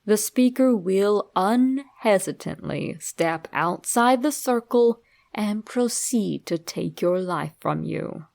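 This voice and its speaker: A woman narrates a creepy story as if speaking right to you. Her delivery is slow and dramatic, with a strong fry in a mid-pitched voice. She reads deliberately, like a storyteller laying out instructions. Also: lively intonation, a little mellow. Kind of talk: scripted creepypasta narration, addressed to the listener.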